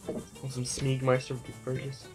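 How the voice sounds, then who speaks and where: A man's voice: medium pitch, monotone, measured pace, faint music behind, close to an everyday voice. An actor playing an anxious, frightened nobleman in an audio drama, stammering and urgent as he speaks. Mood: weary, resigned. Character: anxious, frightened male nobleman fleeing a violent uprising.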